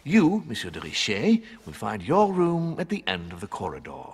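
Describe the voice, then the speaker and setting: An actor playing a formal, deferential servant addressing guests in a video game, heard in a reverberant hall. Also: male voice, fairly low pitch, wildly swooping pitch, moderate pace, breathy edge, full character voice. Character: formal male household servant announcing rooms to guests. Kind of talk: video game cutscene acting.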